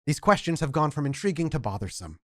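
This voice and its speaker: A storyteller voicing a condescending, genteel male vampire elder who speaks softly and patronizingly. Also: medium pitch, very animated, quick pace, no room sound, studio quality. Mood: irritated. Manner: patronizing, soft-spoken.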